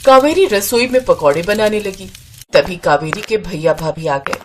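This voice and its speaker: Mid-pitched woman storyteller. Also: lively intonation, moderate pace, noisy background. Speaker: narrator. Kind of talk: scripted storytelling narration.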